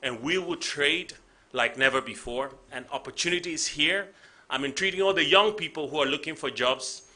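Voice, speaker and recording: A man's voice: fairly high pitch, moderate pace, sharp tone. A Ghanaian man giving a rallying conference speech, repeating phrases for emphasis. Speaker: panel speaker.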